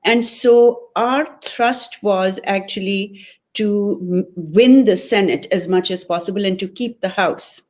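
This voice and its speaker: Woman from Pakistan, interview guest, opinionated, measured delivery. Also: mid-range voice, darkish tone. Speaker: guest, political club member. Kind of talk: conversational interview.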